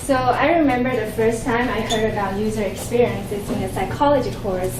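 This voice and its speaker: Young Chinese-accented woman presenter, earnest and halting, fairly high voice, heard from afar in an echoey room with clear hum. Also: steady tone, far from the mic. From Shanghai, China. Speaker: conference presenter. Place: conference room.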